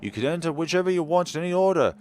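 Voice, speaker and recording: A man voicing a sly, theatrical game host who teases the players, with a smooth, showman-like delivery. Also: a little high, animated, rapid-fire, a little mellow, pitched above the performer's own voice, close to an everyday voice.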